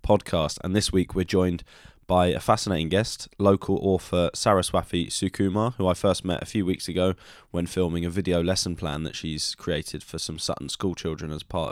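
A man hosting a conversational podcast and interviewing a guest, softening his phrasing as he goes. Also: low voice, no echo, clean studio sound.

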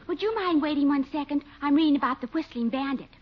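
An actress playing a chatty, naive, easily distracted young secretary with an American accent, in 1940s radio comedy style. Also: female voice, high voice, fast talker, natural voice. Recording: muffled 1940s radio recording.

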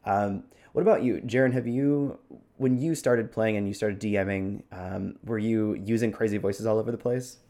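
A man's voice: on the low side, normal speed, mellow. A man co-hosting a podcast in a casual, conversational discussion style. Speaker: podcast co-host.

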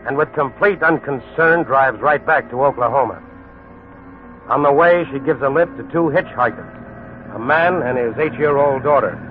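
Actor as dramatic old-time radio narrator. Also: male voice, mid-range voice, normal speed, slight background noise, close to an everyday voice. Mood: dramatic, urgent. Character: dramatic, urgent crime-show narrator. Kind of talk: 1940s radio scripted narration. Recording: narrow 1940s radio recording with music.

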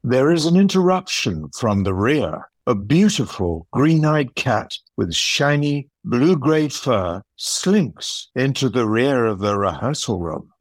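Audio play narrator, a man acting, stately documentary manner, husky voice, animated melody, unhurried. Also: mid-range voice, no echo. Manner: storytelling, formal. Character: stately male wildlife-documentary style narrator. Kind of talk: scripted narration.

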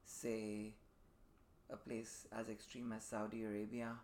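A man in his thirties from Dubai, a podcast guest telling his personal story reflectively in thoughtful, measured phrases. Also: lowish voice, completely flat delivery, a little mellow. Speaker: podcast guest, performing artist. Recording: faint room noise behind the voice.

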